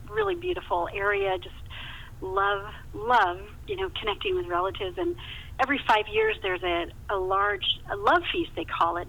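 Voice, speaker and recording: An older American woman talks as a guest author in a relaxed interview, her high voice creaking at the edges. She is chatty and enthusiastic, and her pitch moves a lot. The line sounds muffled. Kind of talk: conversational podcast interview.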